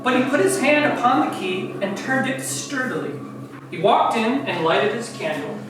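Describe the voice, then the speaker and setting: A woman's voice: a little high, animated, moderate pace. A woman narrating a comic dramatic reading in a theatrical way with wry asides, heard from a distance in an echoey room. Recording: echoey room, distant mic, music behind.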